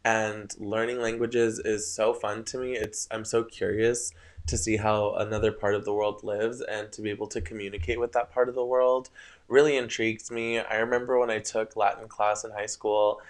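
A man's voice: on the low side, flat delivery, normal speed. A young man whose first language is Spanish hosts a casual solo podcast late at night, rambling about spiritual ideas and often tripping over his words. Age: young adult. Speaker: podcast host.

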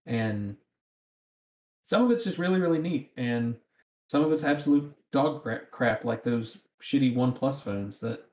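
A man's voice: mid-range voice, lively intonation, unhurried, a little mellow, a little hiss or hum. A man with a rural Southern American accent, chatting casually. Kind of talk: casual chat. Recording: roomy, echoing sound.

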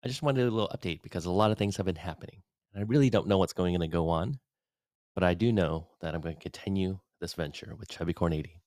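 Deep-voiced man in a dry home studio. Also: fast talker, dry recording. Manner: relaxed, rambling, upbeat. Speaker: podcast host giving an update. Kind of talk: casual solo update. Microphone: studio dynamic mic at desk.

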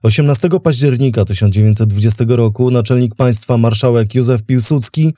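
Mellow male documentary narrator. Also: mid-range voice, dry recording, studio quality. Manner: measured, formal. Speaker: host and narrator.

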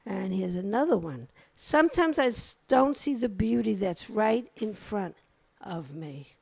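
A woman's voice: medium pitch, animated, a little slow, vocal fry, a little mellow, breathy edge, no room sound. A woman with a Brooklyn accent co-hosting a community radio talk show, chatting and reading short poems of her own. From Brooklyn, New York. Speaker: co-host.

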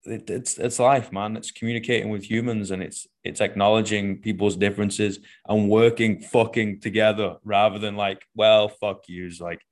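A very deep-voiced man with a Northern English accent talks casually in a podcast chat. He is a fitness coach, blunt and profane, and keeps checking in with "right?" and "man". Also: steady tone, moderate pace, hardly any pauses. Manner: blunt and profane, says "right?" and "man" after almost every point.

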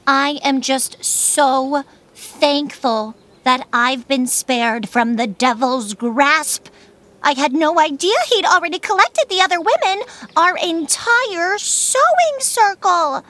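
A woman's voice: sits high, expressive, slow pace, sharp tone, heavily acted. A woman playing a self-righteous, nagging Puritan wife with an affected, fussy manner in a comic audio drama. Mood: falsely sweet. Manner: affected, falsely modest, fussy. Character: prim, self-righteous, nagging Puritan goodwife and social climber. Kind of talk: audio theater comedy acting.

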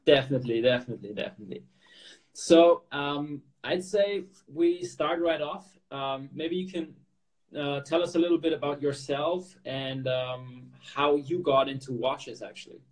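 A man's voice: on the high side, moderate pace, poor audio. An upbeat man hosts a podcast interview over a video call. He sounds friendly and tends to repeat his words. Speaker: podcast host. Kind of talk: casual interview hosting.

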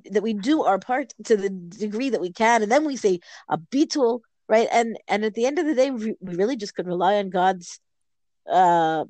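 A woman's voice: mid-range voice, darkish tone, dry recording. A woman in casual Talmud study, answering her partner with playful challenges. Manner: playful challenging back-and-forth.

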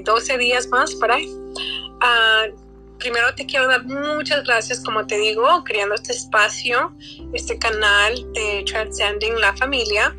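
A woman in her late forties from Texas speaks slowly and haltingly, often pausing and restarting. Her voice is very bright and sharp. Soft music plays behind her. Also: fairly high pitch, low-quality recording. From McAllen, Texas, USA. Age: 40s. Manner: halting, many fillers and restarts. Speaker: guest. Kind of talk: casual interview talk.

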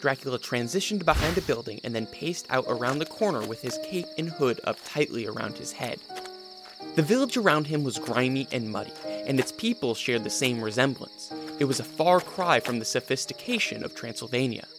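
A man's voice: medium pitch, animated, fairly quick, music in the background. A man narrating a scripted radio drama in a storytelling style.

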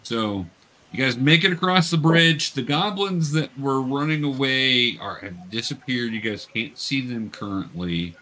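A man's voice: mid-range voice, expressive, measured pace, sharp tone, slight background noise. A man running a tabletop game as game master, coaching young players in a casual, patient way with hesitant explanations.

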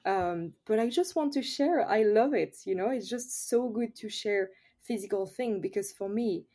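A woman's voice: medium pitch, normal speed, warm tone. A French woman with a French accent, a yoga teacher chatting openly as a podcast guest, with many "you know"s. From France. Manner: chatty, open, frequent fillers like "you know". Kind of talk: casual podcast interview.

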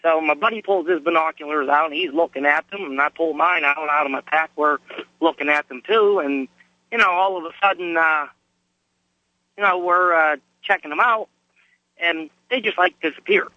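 A hoarse man from Pennsylvania telling a story in a plain, folksy way. He comes through a dry, muffled phone line, and his voice sits a little high for a man. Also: moderate pace, sharp tone, dry recording. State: hoarse from a cold. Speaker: caller.

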